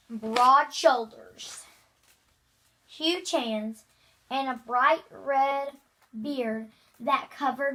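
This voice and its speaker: A young child reading a children's book aloud, haltingly, stumbling over words. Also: sits high, sharp tone, rough recording. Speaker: reader.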